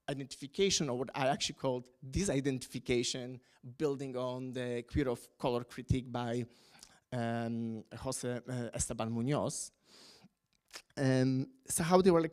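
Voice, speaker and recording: A man's voice: mid-range voice, darkish tone. A middle-aged man speaking English on an academic panel, hesitant, with many fillers and restarts. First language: Polish.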